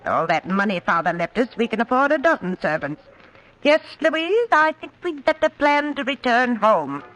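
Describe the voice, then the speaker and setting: An actress playing a fretful, strong-willed elderly aunt in an old radio drama, with a querulous, grumbling manner. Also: female voice, fairly high pitch, very animated, moderate pace, a bit bright. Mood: firm, fretful. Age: older. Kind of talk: 1940s radio acting. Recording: noisy old radio recording.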